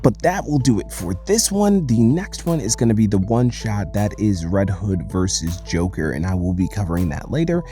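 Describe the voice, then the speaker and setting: A man hosting a casual commentary recap, telling the story in an animated, conversational way. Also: on the low side, moderate pace, hardly any pauses. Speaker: comics recap host. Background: music.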